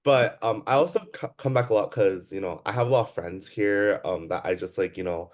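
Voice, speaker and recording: A man's voice: fairly low pitch, fairly quick. Hesitant young man from California. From Bay Area, California, USA. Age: young adult. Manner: hesitant, many fillers and restarts. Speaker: student interviewee. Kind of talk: casual interview answers.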